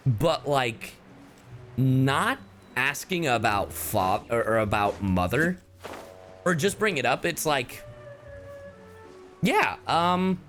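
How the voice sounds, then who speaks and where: A man's voice: medium pitch, big pitch swings, slow pace, pauses often, fairly bright tone, faint music behind. A man commenting casually on a game during a livestream, informal and reactive, with some swearing. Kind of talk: casual gaming stream commentary.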